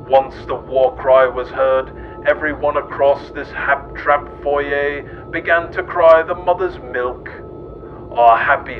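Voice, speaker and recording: Man narrating through muffled, loud rumbling noise. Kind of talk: absurdist scripted narration, mock history.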